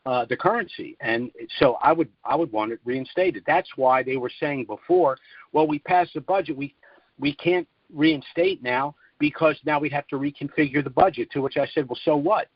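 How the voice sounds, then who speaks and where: A man's voice: on the high side, normal speed, dry recording. A man hosting a call-in conference, giving opinionated, emphatic and argumentative commentary in a conversational way over a phone line. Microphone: telephone conference line.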